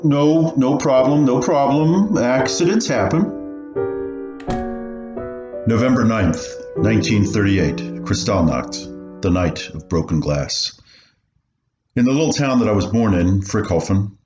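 An older man acting in a radio play as a courteous refugee recalling his childhood in a measured way. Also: lowish voice. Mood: measured, reflective. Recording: roomy, dull audio under loud music.

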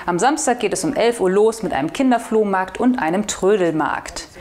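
A woman's voice: mid-range voice, moderate pace. Chatty young woman hosting local news. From northwestern Germany. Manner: chatty and relaxed, reading short news items. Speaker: video host of a regional newspaper. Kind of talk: casual weekly news roundup. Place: studio in front of a blue backdrop. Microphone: lapel mic.

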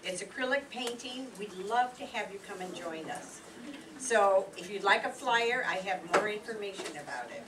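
A woman's voice: medium pitch, expressive, normal speed, crowd noise. An older woman, a teacher, giving an informal class announcement to an audience. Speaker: art teacher. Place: community meeting room with audience. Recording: distant mic, loud room noise.

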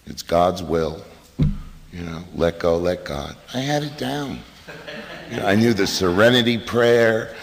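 A man's voice: deep voice, lively intonation, measured pace, gravelly. A middle-aged man from the US East Coast, telling his recovery story to a meeting in a blunt, wisecracking way. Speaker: AA meeting speaker. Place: meeting hall. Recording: hall reverb from a PA.

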